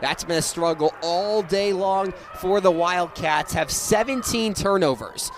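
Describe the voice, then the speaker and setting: A young man giving energetic live radio play-by-play commentary of a sports game. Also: high voice, a little slow. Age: young adult. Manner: energetic, rises to excited calls on big plays. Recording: live stadium with crowd noise. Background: crowd noise at an outdoor game.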